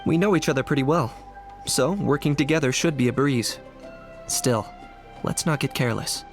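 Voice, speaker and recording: A man voicing a cautious, level-headed young warrior in a video game, speaking in a calm, measured and lightly stern way. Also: medium pitch, natural voice. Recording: loud game music underneath. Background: loud background music.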